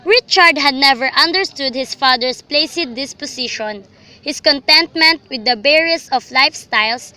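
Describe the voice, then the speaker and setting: Bright, high-pitched young woman narrating. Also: moderate pace. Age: young adult. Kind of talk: scripted radio drama narration, storytelling.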